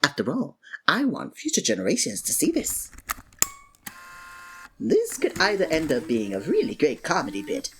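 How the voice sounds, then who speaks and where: A woman performing a scripted villain roleplay, breathy and theatrical, exasperated and swinging abruptly between registers. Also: deep voice, huge pitch swings, moderate pace, pauses often, a little hiss or hum. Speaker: ASMR voice actor playing a villain.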